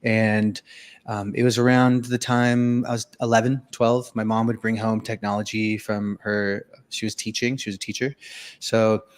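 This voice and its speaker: A man in his thirties with a lowish voice, talking through his story on a video call. He rambles, restarts and fills pauses with "um", in an easy, personal way. His tone stays low and unhurried. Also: flat delivery. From Venezuela. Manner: rambling, many fillers and restarts. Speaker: guest, filmmaker and musician. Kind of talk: casual storytelling interview.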